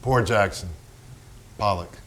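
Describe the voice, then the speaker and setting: An actor playing a hard-drinking, menacing and self-pitying painter in a theatrical staged reading, blunt and brooding. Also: male voice, lowish voice, slow, fairly bright tone, a little hiss or hum. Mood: mocking, drunk. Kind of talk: theatrical staged reading, narration mixed with dialogue.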